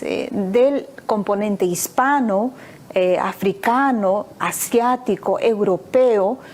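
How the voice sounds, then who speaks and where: A woman's voice: on the high side, a little mellow. Articulate woman hosting a talk show. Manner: articulate, lists ideas with many fillers. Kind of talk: opinionated talk show discussion.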